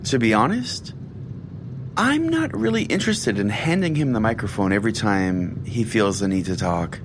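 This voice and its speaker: A man's voice: on the low side, big pitch swings, moderate pace, background noise. A man playing a self-important, sardonic conspiracy-preaching pastor who rambles chattily as host of an audio-drama show. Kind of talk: scripted audio-drama monologue as a talk show.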